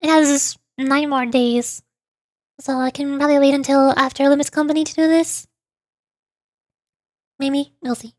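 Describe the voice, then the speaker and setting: A woman's voice: high voice, steady tone, moderate pace, pauses often, a little mellow, no room sound, clean studio sound. A woman game streamer chatting to herself and reading on-screen text aloud as she plays. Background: game music.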